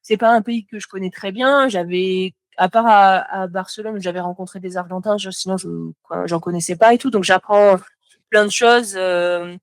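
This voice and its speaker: A woman's voice: medium pitch, steady tone, normal speed, dry recording. A young French woman, a motion designer, chatting as a podcast guest, talking freely with lots of fillers and restarts. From France. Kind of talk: casual podcast conversation.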